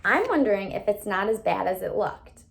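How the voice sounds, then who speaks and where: A woman's voice: medium pitch, big pitch swings, moderate pace, gravelly. A woman voicing an anxious, sardonic teenage boy in a storybook, conspiratorial and dry. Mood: worried.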